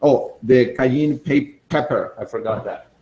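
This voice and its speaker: A man from Argentina, demonstrating a recipe in a casual, enthusiastic way while thinking out loud. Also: medium pitch, unhurried, slight background noise. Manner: chatty and enthusiastic, thinking aloud with fillers. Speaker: cooking demonstrator.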